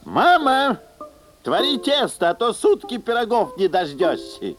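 A simple young peasant lad, played by an actor in a fairy-tale audio play. The speech is drawn-out and very animated, bossy and self-assured, over clear music. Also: mid-range voice. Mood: bossy, cocky.

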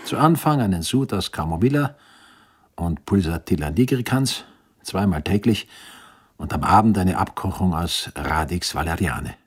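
An actor in a radio drama playing a self-assured eighteenth-century physician, probing and emphatic, with a husky voice. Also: male voice, sits low, expressive, moderate pace. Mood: reassuring, instructing. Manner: insistent, questioning, emphatic.

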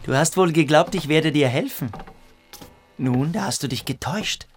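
A man's voice: fairly high pitch, normal speed, noisy background, natural voice. Man acting cruel, commanding cadet. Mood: contemptuous. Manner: commanding, contemptuous. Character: cruel, domineering male cadet bully. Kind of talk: radio drama acting.

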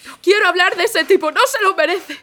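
A woman's voice: very high voice, fast, fairly bright tone. An actress from playing a hurt, indignant young woman in an audio drama, speaking with agitated emotion. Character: hurt, indignant young sister worried for her sweetheart.

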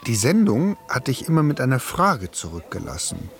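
An actor playing a pensive former radio listener reflecting emphatically in a radio documentary play. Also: male voice, mid-range voice, big pitch swings, normal speed, noisy background. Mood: pensive, haunted.

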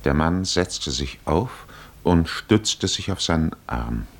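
Slow, very low-voiced solemn male narrator. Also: animated, husky. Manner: solemn, measured. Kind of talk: scripted literary narration, 1950s radio drama.

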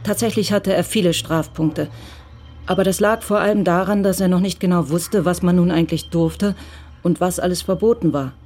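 A woman narrating a German radio play from a script in a measured, matter-of-fact way. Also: lowish voice, darkish tone.

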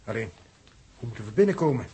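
Animated, puzzled, eager crewman. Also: medium pitch, fast talker, slightly rough. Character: Huub, puzzled, slow-to-catch-on eager male team member. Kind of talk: 1960s radio drama acting. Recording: 1960s radio recording, busy crowd behind.

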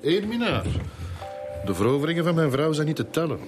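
A man's voice: on the high side, big pitch swings, brisk. A man with a Flemish accent playing a cynical, detached, middle-aged husband in a radio crime drama. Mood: cool, bitter. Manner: sardonic, detached. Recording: music under the dialogue.

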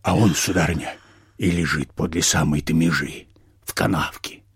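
An actor playing a plain-spoken peasant man in a radio play, telling village news in a folksy, halting way. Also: a bass voice, fairly even intonation, breathy edge, no echo, clean studio sound, exaggerated voice. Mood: grave, hushed.

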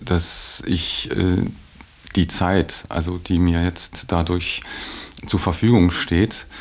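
A man's voice: low voice, a little mellow. A middle-aged man giving a reflective personal account in a hesitant way, searching for his words. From Belgian-German background. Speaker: interviewee.

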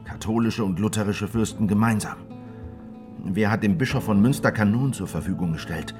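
An actor playing a driven, brooding revolutionary hunting a spy, intense and probing, in a German radio drama. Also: male voice, low-pitched. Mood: heated. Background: prominent music.

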